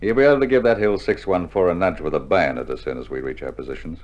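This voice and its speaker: An actor playing a genial, unflappable army officer in a hearty 1960s radio drama style. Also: male voice, low voice, fast, darkish tone, slight background noise, close to an everyday voice. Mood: jovial, hearty. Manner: hearty, jovial, chuckling understatement. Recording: muffled old vinyl radio drama recording.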